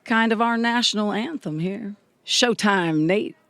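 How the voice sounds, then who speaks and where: A woman's voice: medium pitch, measured pace, loud music behind the voice, close to an everyday voice. A woman playing a feisty, charming country diner owner in a comedic audio drama. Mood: showy.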